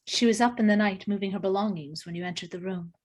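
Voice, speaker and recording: A woman's voice: medium pitch, fast talker, a little mellow, close to an everyday voice. A woman playing a shy, nervous niece, hesitant and earnest, in a screenplay table read. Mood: worried.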